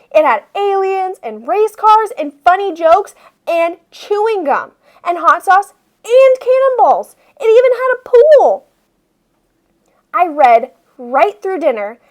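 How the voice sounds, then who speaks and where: A woman reading a children's picture book aloud expressively, with deliberate phrasing for young listeners. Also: high voice. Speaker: read-aloud storyteller.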